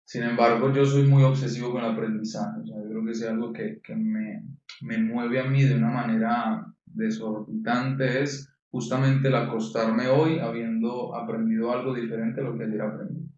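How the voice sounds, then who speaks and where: A young man from Colombia, a coaching guest in an interview, telling his story in a measured, reflective way with frequent restarts. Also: medium pitch, flat delivery, a little mellow. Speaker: guest, neurolinguistic programming coach. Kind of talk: interview answers. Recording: echoey room, distant dull mic.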